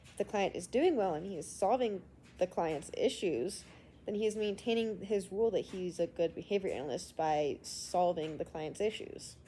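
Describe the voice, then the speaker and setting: A woman's voice: on the low side, lively intonation, normal speed, mellow, a little hiss or hum. A sick woman with a congested voice, recording her class assignment as a student and reading prepared answers with frequent hesitations.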